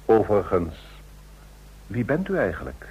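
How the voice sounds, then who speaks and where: An actor playing a shrewd, easygoing president in an old radio drama, speaking formally and deliberately. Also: male voice, lowish voice, big pitch swings, darkish tone, a little hiss or hum. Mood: probing, composed. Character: shrewd, easygoing president of a large federation, a man. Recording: muffled old radio play recording.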